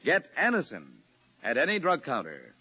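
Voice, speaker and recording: A male 1950s radio announcer reads sponsor copy in a polished, emphatic way. The voice is forceful and swoops with energy, heard through a dull vintage recording. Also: huge pitch swings, normal speed, breathy edge. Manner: polished, emphatic pitchman delivery.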